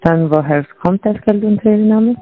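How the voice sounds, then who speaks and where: A woman's voice: medium pitch, brisk. Scam caller woman on tinny phone line. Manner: broken German, repeats scripted lines.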